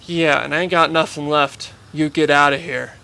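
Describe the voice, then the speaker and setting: An actor playing a weathered, gruff but tender-hearted frontier vet in a Western radio drama. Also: male voice, on the high side, steady tone, moderate pace, pushed and bright. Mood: hostile. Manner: gruff, rustic. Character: lanky weathered grumpy vet, tender toward animals.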